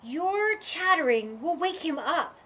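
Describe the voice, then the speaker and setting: A woman's voice: very high voice, animated, slow, pushed and bright, pitched above the performer's own voice, full character voice. A woman voicing a blunt, helpful little porcupine in a children's storybook, matter-of-fact and emphatic.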